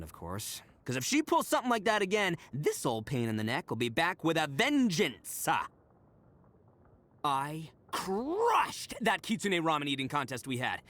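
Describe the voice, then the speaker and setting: An actor playing a brash, goofy young horned oni gang leader in a video game, boisterous, boastful and slangy, with theatrical swings. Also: male voice, on the high side, huge pitch swings, moderate pace, fairly bright tone. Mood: cocky. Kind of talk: video game character voice acting.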